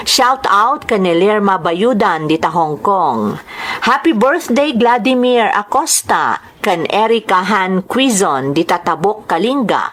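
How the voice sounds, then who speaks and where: A woman with an Ilocano accent presents as the host of a radio-style drama program. She reads her script slowly and deliberately, in a measured announcer manner. Her voice sits at an ordinary middle pitch for a woman. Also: a bit bright. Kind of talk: scripted narration, greetings and letter reading.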